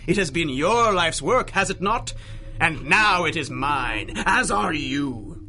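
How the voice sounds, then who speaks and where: Pompous, bright-voiced sorcerer villain. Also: male voice, fairly high pitch, lively intonation, takes it slowly, heavily acted. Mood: smug menace. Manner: pompous, theatrical, menacing. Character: gloating malevolent male sorcerer villain. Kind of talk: radio drama acting in 1930s pulp style.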